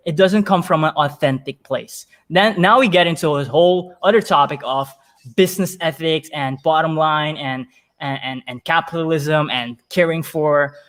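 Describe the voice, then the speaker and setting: Higher-voiced man, emphatic and conversational. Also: a bit bright. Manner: emphatic, repeats words as thoughts form. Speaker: interview guest, storytelling and marketing entrepreneur. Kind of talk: conversational interview answers with personal anecdotes.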